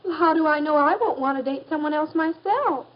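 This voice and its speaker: An American woman acting as a hesitant, questioning teenage high-school girl in a 1950s educational film. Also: fairly quick, lightly acted. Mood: doubtful. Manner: questioning, uncertain. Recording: dull, muffled 1950s film soundtrack.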